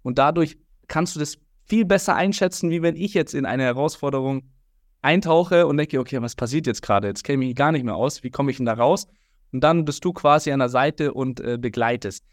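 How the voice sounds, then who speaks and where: A man's voice: mid-range voice, a little fast, no room sound, studio quality. A man hosting a podcast interview, talking in a friendly, rambling way with many fillers. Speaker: podcast host. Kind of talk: casual interview chat.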